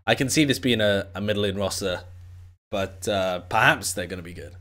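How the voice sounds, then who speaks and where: A man's voice: fairly low pitch, normal speed, bright, forceful tone. A man co-hosting a casual gaming podcast, giving dry, sardonic analysis. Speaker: podcast co-host.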